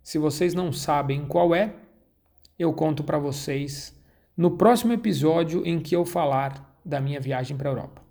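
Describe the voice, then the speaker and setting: A man's voice: fairly high pitch, moderate pace, vocal fry. A man, hosting a learning channel and chatting casually to viewers about a trip. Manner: relaxed, chatty, with frequent tag questions. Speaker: host of a Portuguese-learning channel. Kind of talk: casual travel storytelling to viewers.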